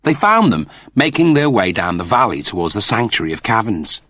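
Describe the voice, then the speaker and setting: A man's voice: lowish voice, big pitch swings, fairly quick, pushed and bright, dry recording. A man reading a science fiction novel aloud as a narrator, with theatrical, intense storytelling. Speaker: audiobook narrator.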